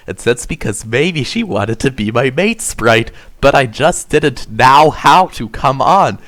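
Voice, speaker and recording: A man's voice: mid-range voice, a bit bright. A man narrating an amateur dramatic reading of fan fiction, delivering overwrought prose in a mock-serious way.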